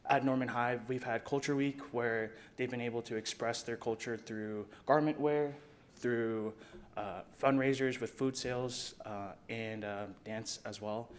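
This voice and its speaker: A man who grew up in Colorado Springs, a high school club sponsor, giving an earnest, conversational speech at a city council meeting. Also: fairly even intonation, a little slow. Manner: earnest, conversational, some fillers.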